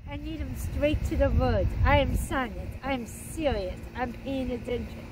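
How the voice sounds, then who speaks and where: A woman reads to children in a high voice. The recording carries plainly audible background ambience. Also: normal speed, background noise. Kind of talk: children's read-aloud.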